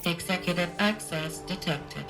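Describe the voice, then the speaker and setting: A computer announcer in a game, flat and formal. The voice sits slightly low, with clear music playing behind it. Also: female voice, a little slow. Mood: impersonal. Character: impersonal female computer system announcer. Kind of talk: automated announcement in a video game.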